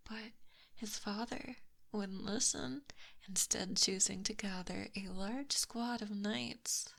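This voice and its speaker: Woman acting a possessive snake monster girl, soft breathy asmr whispering, real slow, bit of creak, teasing, super close mic. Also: medium pitch, steady tone, full character voice. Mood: hushed storytelling. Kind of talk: ASMR roleplay. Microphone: close binaural microphone.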